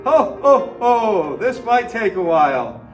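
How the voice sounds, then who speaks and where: A jolly, booming Santa Claus for an old-time radio ad. His voice swings up and down freely. It echoes a little way off the mic, with music behind. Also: big pitch swings, slow, far from the mic, full character voice.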